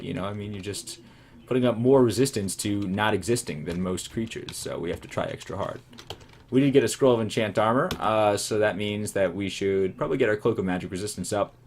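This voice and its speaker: A man with a very low voice talks through a video game at his computer, musing aloud in a rambling, self-amused way. His voice is wearing hoarse. Faint keyboard typing sits under the talk. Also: a little hiss or hum. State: voice going hoarse. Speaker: let's play commentator. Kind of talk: casual gameplay commentary.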